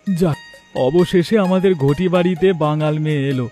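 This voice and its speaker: A man's voice: on the high side, moderate pace, dark tone, natural voice. A man playing a proud young village bridegroom in cartoon dialogue. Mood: pleased, animated. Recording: music behind the voice.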